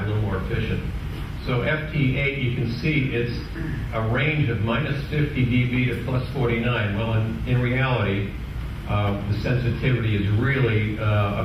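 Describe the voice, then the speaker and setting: Guy doing a club tech talk, kinda halting explainer style, super echoey room, noisy recording. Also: mid-range voice, far from the mic. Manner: halting, explanatory, with rhetorical questions and asides. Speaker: presenter at an amateur radio club meeting. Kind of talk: informal technical presentation. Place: indoor club meeting room. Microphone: distant microphone.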